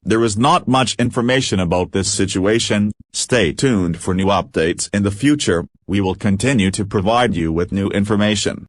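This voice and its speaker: A man's voice: fairly low pitch, moderate pace, few pauses, no echo, clean studio sound. A man reading a scripted emergency announcement in a formal, impersonal manner.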